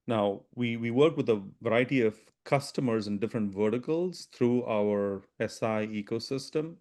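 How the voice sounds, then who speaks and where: A man presenting a business webinar, talking quickly with frequent restarts and "right?" tags. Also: medium pitch, darkish tone. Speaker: webinar presenter, product strategy VP.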